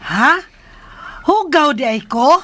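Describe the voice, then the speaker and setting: A simple-minded mother in a radio drama, vain and childish. Her voice is high and whiny, and she drags her words out slowly. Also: animated, bright, forceful tone, exaggerated voice. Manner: childish, whining, drawn out.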